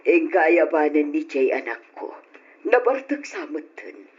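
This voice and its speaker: A woman's voice: deep voice, sing-song, a little slow, faint music behind. A woman with an Ilocano accent playing an emotional, fretful elderly mother in an old radio drama style. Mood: remorseful. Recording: dull lo-fi radio drama recording.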